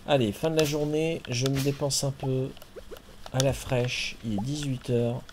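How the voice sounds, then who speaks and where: Male streamer, chatty and playful, cusses lightly, relaxed gaming talk. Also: medium pitch, measured pace, noisy background. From France. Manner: chatty, jokey, playful swearing. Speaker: streamer and host. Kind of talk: casual chat while playing a farming game.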